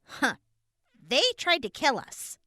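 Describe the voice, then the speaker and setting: A woman's voice: high voice, lively intonation, takes it slowly, sharp tone, dry recording, clean studio sound, full character voice. A woman playing a tough, wry and evasive wasteland survivor in an audio drama. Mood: skeptical.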